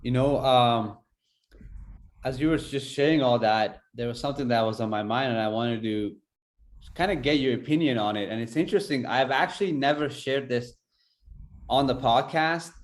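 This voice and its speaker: A man from Afghanistan hosting a podcast, telling a personal story in an earnest, halting way. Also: medium pitch. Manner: earnest, halting, many fillers. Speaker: podcast host.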